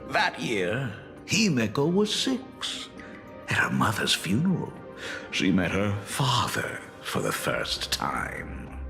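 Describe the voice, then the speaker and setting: An actor playing a sinister, theatrical impostor demon in a video game, gravelly and mocking, drawing out his words. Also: wildly swooping pitch, heavily acted. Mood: taunting. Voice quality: gravelly, low. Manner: mocking, theatrical, drawn-out delivery. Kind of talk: dramatic video game voice acting. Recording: music behind the voice. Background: game music.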